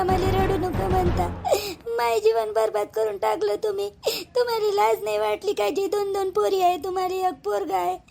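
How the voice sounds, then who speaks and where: Woman doing a crying hurt wife, really high voice, bitter and weepy. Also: creaky, darkish tone. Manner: sobbing, reproachful. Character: wronged young wife, tearful and bitter. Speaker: actor in a family drama skit.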